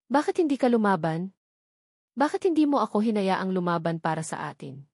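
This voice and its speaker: A woman's voice: medium pitch, expressive, brisk, darkish tone, slightly rough, dry recording, studio quality. Woman acting hurt, insistent abandoned husband. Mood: pained. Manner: demanding, emotional questioning.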